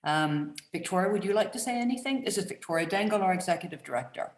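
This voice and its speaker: A woman hosting an event thanks the speaker with gushing praise, talking in a slow, halting way. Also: fairly low pitch, expressive, reverberant. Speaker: event host.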